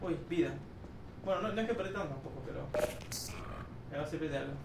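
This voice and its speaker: A young man livestreaming a video game, chatting casually with his viewers and breaking into sudden loud outbursts. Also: on the high side, fast. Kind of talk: casual gameplay livestream chat. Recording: noisy echoey room, from far off.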